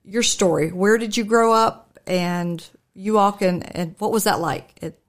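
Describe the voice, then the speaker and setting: A middle-aged woman pastor hosting a radio talk show, speaking gently and unhurriedly with frequent ums. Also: mid-range voice, measured pace.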